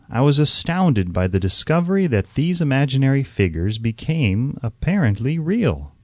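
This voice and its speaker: Guy reading an audiobook, deliberate storyteller delivery, pitch moves around a lot, really dry recording. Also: darkish tone, dry recording. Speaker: audiobook narrator. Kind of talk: scripted audiobook narration.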